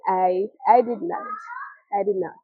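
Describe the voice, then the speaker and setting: Through thin, phone-like audio with very little room sound, a woman shares a personal story. Her voice is rough-edged and husky. She speaks haltingly, in short phrases broken by pauses and repeated words. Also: medium pitch, sing-song, no echo. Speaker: storyteller, mother sharing her own story. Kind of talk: personal testimony, spoken storytelling.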